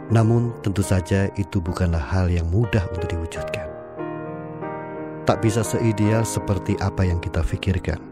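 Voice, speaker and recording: Deep-voiced male drama narrator. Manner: measured, storytelling. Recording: music underneath.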